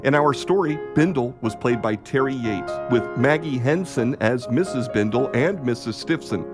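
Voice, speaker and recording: A man reading scripted narration and announcements for a radio play. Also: medium pitch. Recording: music under the voice. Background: music.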